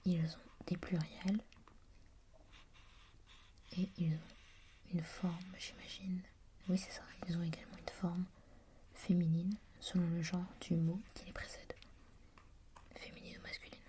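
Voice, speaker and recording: A woman whispering as she reads aloud from a language reference book, softly musing and adding her own comments. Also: lowish voice, level tone. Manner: whispering throughout; soft and musing, pausing to comment. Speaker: reader.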